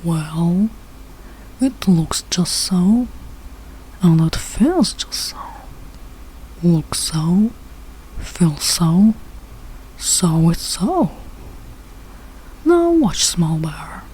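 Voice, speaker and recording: Woman voicing a confident, boastful father bear, unhurried. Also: on the low side, big pitch swings, dark tone, a little hiss or hum, full character voice, rough recording. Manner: self-assured, instructive, slow rhyming delivery.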